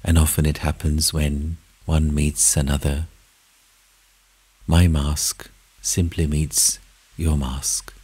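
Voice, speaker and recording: A man with an extremely deep, very breathy voice guides a meditation. He keeps his voice flat and slow, speaking in short, measured phrases with long pauses, soft and sometimes close to a whisper.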